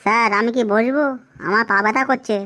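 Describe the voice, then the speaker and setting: A woman's voice: a little high, fairly even intonation, brisk, sharp tone. A woman voicing a cheeky, smart-alecky young schoolboy in a comic cartoon. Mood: cheeky, pleading.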